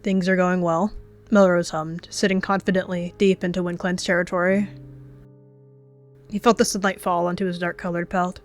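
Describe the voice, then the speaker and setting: A woman's voice: fairly low pitch, little pitch movement, moderate pace, soft background music. A woman narrating as a storyteller, reading scripted fiction in a dramatic style. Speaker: storyteller and roleplayer.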